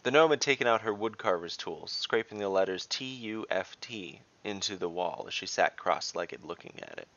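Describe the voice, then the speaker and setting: A man's voice: low voice, animated, moderate pace, hardly any pauses, a bit bright, muffled recording. A man narrating a scripted fantasy tale as dungeon master, with theatrical, descriptive storytelling.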